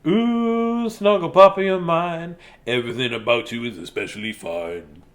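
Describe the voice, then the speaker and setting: A man's voice: fairly high pitch, moderate pace. A man, chatting casually and reading to viewers as a bedtime storyteller in a silly, playful manner. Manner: silly, playful, with singsong scatting. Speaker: children's bedtime story reader.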